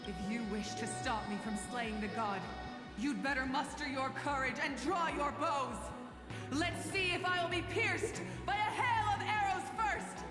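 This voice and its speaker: An actress playing a proud, defiant warrior heroine in a video game, with a declamatory, commanding and scornful delivery. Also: female voice, high-pitched, unhurried. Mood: resolute. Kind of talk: dramatic video game voice acting. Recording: echoey hall reverb under loud music.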